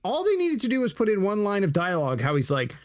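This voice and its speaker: A man co-hosting a podcast in casual chat, animated, acting out imagined dialogue as he talks. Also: high-pitched, quick pace, dry recording, studio quality. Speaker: podcast co-host.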